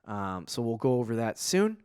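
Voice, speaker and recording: A man's voice: medium pitch, very animated, moderate pace, darkish tone, clear, resonant tone, no echo, clean studio sound. A man from New England co-hosting a casual fantasy football podcast, loose and laughing, often trailing off and restarting. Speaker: podcast co-host. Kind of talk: casual sports chat.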